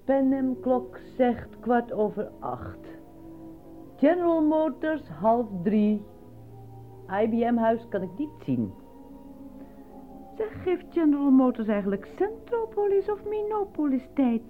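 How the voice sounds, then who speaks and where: An actress in a Dutch radio play voicing a worn-out working wife who speaks plainly and reproachfully. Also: female voice, high-pitched, sing-song, takes it slowly, exaggerated voice. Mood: wistful. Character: nagging, worn-out working wife in a dystopian city. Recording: dull lo-fi radio recording, faint music.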